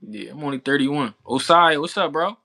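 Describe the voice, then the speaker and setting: A man's voice: fairly high pitch, normal speed, vocal fry, bright, forceful tone. A man in his thirties chatting casually with livestream viewers, talking in a laid-back, slangy way and laughing often. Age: 30s. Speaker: livestream boxing commentator. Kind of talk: casual chat with live chat viewers.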